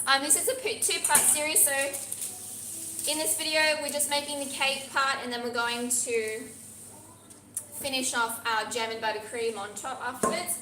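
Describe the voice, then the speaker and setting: Bright-voiced Australian woman teaching baking. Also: high voice, roomy sound, a little hiss or hum. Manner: chatty, encouraging, talks while working with her hands. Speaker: pastry instructor. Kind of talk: live cooking demonstration. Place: teaching kitchen at a pastry academy.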